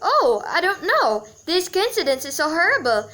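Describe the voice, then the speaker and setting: A woman's voice: very high voice, very animated, moderate pace, pushed and bright, heavily acted. A woman playing a nervous, demanding invalid wife in a radio thriller, agitated and insistent. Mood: fretful, demanding. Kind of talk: radio play acting.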